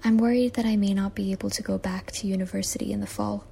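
A young woman from Malaysia reads her own reflective essay, earnest and measured, returning to a repeated refrain. Her voice is mellow with a gravelly edge. A faint hiss sits under the recording. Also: mid-range voice, fairly even intonation. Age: 20s. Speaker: guest essayist. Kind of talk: reflective personal essay, read aloud. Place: childhood bedroom at home.

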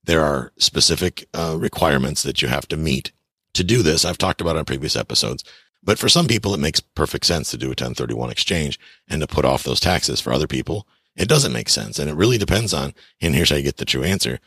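A man's voice: a bass voice, animated, fairly quick, a bit bright, breathy edge, no room sound, clean studio sound. A man in his fifties hosting a podcast, giving blunt, chatty advice on real estate and taxes straight to his listeners. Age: 50s. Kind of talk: casual advice monologue.

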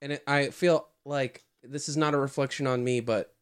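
A player cracking wry, deadpan jokes during a tabletop game. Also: mid-range voice, moderate pace, smooth. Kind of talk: casual banter at a game table.

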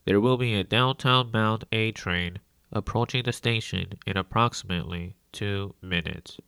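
Guy doing a train station announcer, low n gravelly, measured, official, audiobook bit. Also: pushed and bright, dry recording. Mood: impersonal. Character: impersonal subway station announcer.

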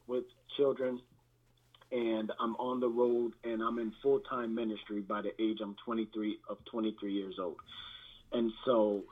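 A man speaking as a guest pastor, giving a candid personal testimony in a halting, reflective manner with repeated words, through thin band-limited audio. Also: mid-range voice, narrow, tinny audio.

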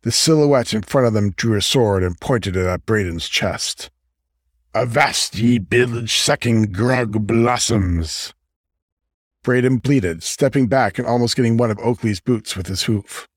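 Low-voiced man narrating, dry sound. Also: normal speed, few pauses, dry recording. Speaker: audiobook narrator. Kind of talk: scripted story narration.

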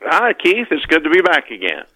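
A man speaking as an author in a casual interview, halting and often restarting his words. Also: on the high side, huge pitch swings, pushed and bright, poor audio. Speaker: author, podcast guest.

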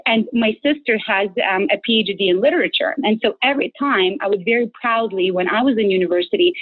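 A woman from Ukraine with a Ukrainian accent, a guest telling stories in a casual interview and laughing often. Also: medium pitch. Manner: laughs often, animated storyteller. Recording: tinny phone-like line.

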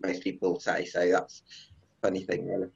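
Gravelly, husky man, deep and relaxed. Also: a little fast, muffled recording. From Birmingham, England. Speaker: football content creator.

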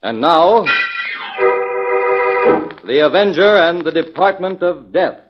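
A man's voice: fairly high pitch, lively intonation, takes it slowly. A man narrating a radio drama in dramatic 1940s announcer style. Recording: muffled vintage radio recording. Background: music.

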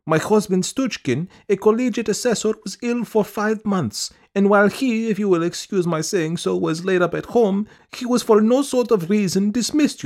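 A man voicing a whining, stubborn middle-aged woman who pleads her case in a shrill, insistent wail from a storybook. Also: a little mellow. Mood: aggrieved, pleading. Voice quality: shrill, piping. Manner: wailing, insistent, rapid complaining.

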